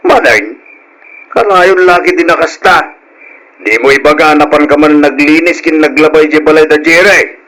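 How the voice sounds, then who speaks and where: An actor playing an exasperated, bewildered husband in a radio drama, questioning, with a Filipino accent. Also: male voice, fairly high pitch, moderate pace, sharp tone, low-quality recording. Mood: exasperated, chiding. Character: exasperated husband, bewildered by his wife's choices. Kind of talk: Ilocano radio drama acting.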